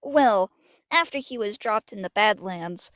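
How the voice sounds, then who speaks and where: A woman's voice: medium pitch, animated, unhurried, fairly bright tone, dry recording, studio quality. A woman voicing a scholarly, encouraging pony princess aunt in an amateur cartoon audio drama, explaining things in a reassuring way.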